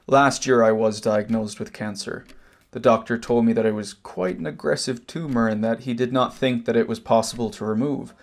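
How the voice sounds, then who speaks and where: A man acts out a wronged Irish brother in an amateur drama. His voice has a gravelly creak at a middling pitch. He lays out old grievances deliberately and pointedly, with bitter restraint. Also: fairly even intonation, lightly acted. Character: wronged older emigrant brother, cancer survivor, measured, bitter. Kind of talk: amateur stage drama acting, long monologue.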